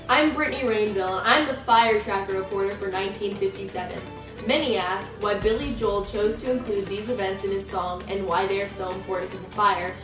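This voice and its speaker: A young American woman giving a scripted amateur news report as a reporter. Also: mid-range voice. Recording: roomy, distant mic, music behind. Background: music.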